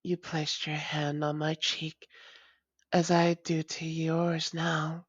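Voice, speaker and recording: A tender vampire woman, acted by a female performer speaks to her long-lost love in an intimate audio roleplay. Her voice is low and very slow, with old-fashioned, formal wording that often softens toward whispering. The recording is a little dull and muffled. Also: level tone, no echo. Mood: fond, intimate.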